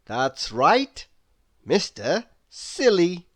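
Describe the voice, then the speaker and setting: A woman's voice: fairly low pitch, sing-song, very slow. A woman reading a children's story aloud expressively, putting questions to the listener. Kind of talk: children's read-aloud narration.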